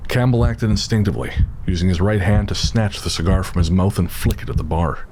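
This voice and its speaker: A man's voice: sits low, fairly quick, background noise. A man narrating a scripted dramatic story, with music behind him.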